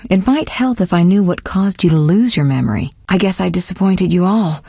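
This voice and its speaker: A proud young sorceress from a fantasy video game, with a fairly low female voice. She is confident and articulate, able to command or to show tenderness, and is recorded close and dry. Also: brisk, vocal fry, warm tone, slightly rough, dry recording. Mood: gentle, wistful.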